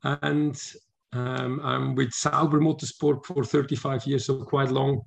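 A man's voice: medium pitch, flat delivery, a little slow, gravelly, crowd noise. A man in his fifties from Switzerland, a guest answering interview questions in a thoughtful way with many restarts. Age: 50s. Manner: thoughtful, frequent restarts and "um"s, chuckles easily. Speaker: guest, motorsport sporting director.

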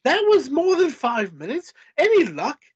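A man's voice: very high-pitched, huge pitch swings, moderate pace, dry recording, muffled recording, voice pushed up, exaggerated voice. A man voicing an exasperated, fearful young British woman while reading an adventure story aloud.

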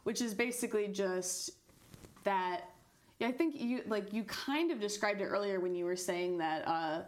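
Easygoing, chatty podcast co-host. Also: female voice, mid-range voice, normal speed. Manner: easygoing, chatty, laughs often. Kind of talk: casual conversation.

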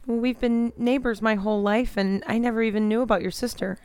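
An actress playing a gentle, sheltered teenage girl in an audio drama, earnest, polite and sincere. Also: a little high, steady tone, fairly quick, clear, resonant tone, natural voice. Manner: soft-hearted, sincere, polite.

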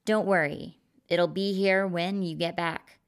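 A woman voicing a calm alien-looking figure who speaks reassuringly. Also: lowish voice, measured pace, pitched above the performer's own voice. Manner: smooth, reassuring.

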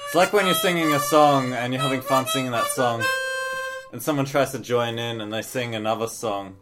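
A man's voice: mid-range voice, brisk, over loud music. A man co-hosting a comedy podcast, telling rambling, earnest-sounding stories in comic banter. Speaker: podcast co-host.

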